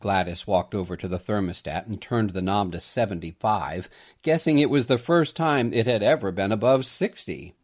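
A man reading a scripted Christmas story aloud in an expressive storytelling manner. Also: on the low side, moderate pace. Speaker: storyteller, podcast host.

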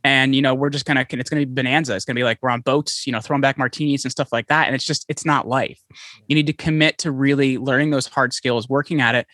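A man talking fast and eagerly as a podcast guest, piling up quick restarts as he tells his story. His voice is bright and forward. The sound is dry and close, with no room around it. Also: medium pitch, steady tone, dry recording. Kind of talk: conversational interview. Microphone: podcast microphone, remote call.

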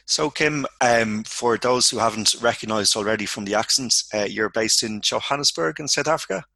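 Male podcast host, gravelly and bright, short friendly questions. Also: mid-range voice, moderate pace. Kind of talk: interviewer.

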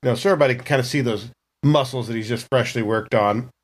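A man tells the scene of a tabletop role-playing game. His voice sits at an average male pitch, and the delivery is casual and improvised, like storytelling among players. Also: fast talker.

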